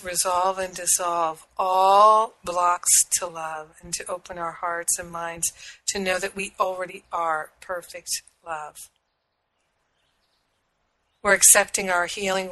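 A middle-aged woman with a husky voice, hosting a spiritual radio show, speaking slowly and soothingly while recovering from a cold. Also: on the low side, pushed and bright, slight background noise, low-quality recording. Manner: slow, soothing and affirming. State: recovering from illness. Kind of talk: prayer and spiritual teaching talk.

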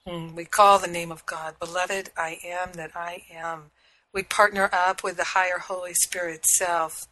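A middle-aged woman with a husky voice, hosting a spiritual radio show, speaking slowly and soothingly while recovering from a cold. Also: lowish voice, little pitch movement, sharp tone, poor audio. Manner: slow, soothing and affirming. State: recovering from illness. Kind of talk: prayer and spiritual teaching talk.

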